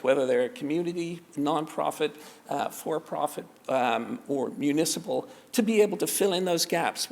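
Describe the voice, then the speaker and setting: A man giving a conference talk on policy, halting and often repeating words as he restarts. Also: fairly high pitch, gravelly. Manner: halting, many repetitions and restarts. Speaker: conference panelist on spectrum policy. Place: large conference hall. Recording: reverberant conference hall.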